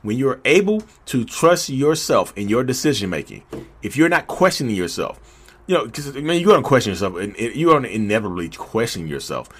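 A man's voice: medium pitch, sing-song, a little fast, fairly bright tone, husky. A man hosting a podcast, talking casually and emphatically to his listeners and repeating his points for stress. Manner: emphatic, repeats himself, addresses listeners as y'all. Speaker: podcast host. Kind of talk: casual motivational monologue.

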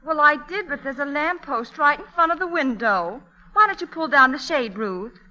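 A woman's voice: sits high, expressive, normal speed, pushed and bright, lo-fi sound, heavily acted. An actress playing a sweet, timid, girlish young sister in a classic radio comedy, with a plaintive manner. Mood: weary, gentle.

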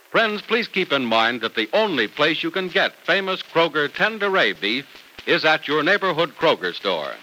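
A man's voice: on the high side, pushed and bright. An American man announcing in a polished, emphatic 1940s radio style, stretching out key words. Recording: noisy vintage radio recording.

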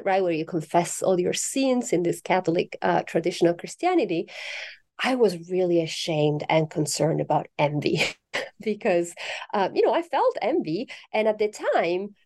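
A middle-aged woman from Italy, a philosophy professor, talks about her work in an interview. Her voice is warm and mellow. She speaks animatedly, with fillers, restarts and sudden lifts of emphasis. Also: medium pitch. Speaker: philosophy professor, guest author. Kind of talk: academic interview conversation.

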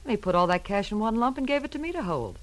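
An American actress playing a hard-edged, scheming nightclub singer in a 1940s radio noir drama, sly and slangy. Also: female voice, mid-range voice, very animated, fast, natural voice. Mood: confiding, scheming.

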